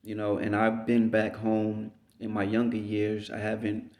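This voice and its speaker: Guy rambling thru a laid back spiritual vlog talk, kinda flat low voice. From United States. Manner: unhurried, rambling, frequent "you know what I mean". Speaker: vlogger. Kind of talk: reflective spiritual monologue. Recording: roomy echo.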